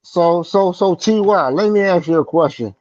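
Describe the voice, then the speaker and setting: Blunt cussing guy, bit high voice, dull dry audio. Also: normal speed, darkish tone, dry recording. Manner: blunt, profane, cuts in with "hold on". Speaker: boxing podcast co-host. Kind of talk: casual chat.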